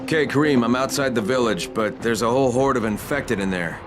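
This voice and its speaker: A man's voice: mid-range voice, brisk, background noise, natural voice. A man playing a blunt, exasperated undercover tough guy in a video game, casual and profane in manner. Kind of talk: video game cutscene acting.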